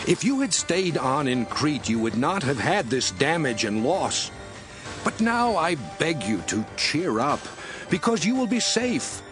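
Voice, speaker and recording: An actor playing the apostle Paul in an audio drama, earnestly pleading and reassuring the ship's crew. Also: male voice, fairly high pitch, big pitch swings, measured pace. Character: apostle Paul, calm steadfast older man. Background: music score.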